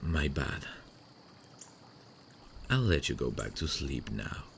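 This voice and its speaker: Man acting a very deep, gravelly, tender boyfriend. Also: big pitch swings, a little hiss or hum, exaggerated voice. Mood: tender, soothing. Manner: tender, soothing, hesitant pauses. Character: sweet, devoted long-distance boyfriend on a late call. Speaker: ASMR roleplay voice actor. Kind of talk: slow intimate ASMR boyfriend roleplay. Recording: faint running-water nature sounds behind. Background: stream nature sounds.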